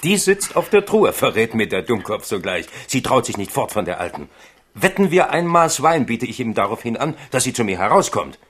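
A man's voice: medium pitch, big pitch swings, normal speed, fairly bright tone, husky. An actor in a German radio play playing a loyal, talkative manservant who speaks deferentially to his king. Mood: sly, chatty. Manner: wordy, deferential, storytelling.

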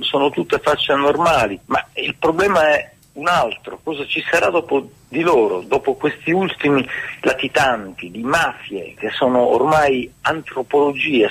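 A man with a gravelly, husky voice giving spontaneous analytical commentary in a clip that sounds like radio or telephone. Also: mid-range voice, a bit bright, low-quality recording.

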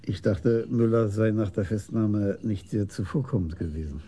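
A male actor playing a reserved senior police commissioner in a radio crime drama, asking terse, measured questions. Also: on the low side, warm tone, natural voice. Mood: quietly sceptical.

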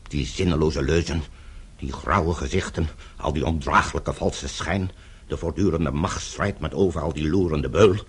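An actor playing a weary, bitter older politician from behind the Iron Curtain in a radio play, brooding and confessional. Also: male voice, extremely low pitch, unhurried, exaggerated voice. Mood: determined, bitter. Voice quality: gravelly, husky. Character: weary, bitter older Eastern Bloc politician, guilt-ridden. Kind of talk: radio drama acting.

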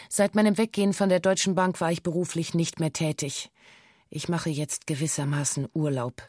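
Woman acting a young ex-bank clerk, low voice, dry close sound, official witness testimony, matter-of-fact, radio play. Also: darkish tone, no room sound, natural voice. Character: young former bank clerk giving a formal witness statement. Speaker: actor in a radio documentary drama. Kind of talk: scripted first-person testimony read aloud.

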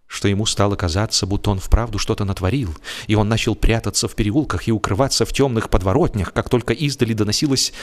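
A man narrating a humorous story as a scripted reading, theatrical in delivery, with drawn-out words and emphatic swings. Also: fairly low pitch. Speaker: audiobook narrator. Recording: music behind the voice. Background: music.

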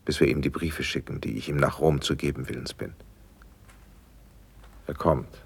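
An actor playing a gracious, measured prince in a classic German verse radio drama, speaking in formal, deliberate declamation. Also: male voice, very deep voice, little pitch movement, gravelly, breathy edge, heavily acted. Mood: composed, instructing.

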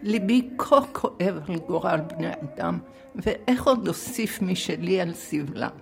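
A woman's voice: on the low side, expressive, very fast, gravelly, faint music behind. An older woman, a retired professor, reading and speaking about literary translation.

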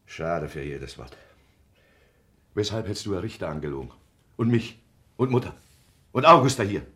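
A man's voice: low voice, sing-song, moderate pace, pushed and bright, exaggerated voice. A bitter, volatile village man. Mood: bitter, accusing. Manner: accusing, explosive, then subdued. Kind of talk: German radio play, emotional stage acting.

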